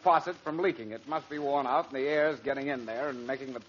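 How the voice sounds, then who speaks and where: A man's voice: mid-range voice, fast, natural voice. An actor playing an exasperated, fussy middle-aged father in 1940s radio comedy style, indignant and sputtering. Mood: stubborn, indignant. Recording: dull, noisy old radio recording.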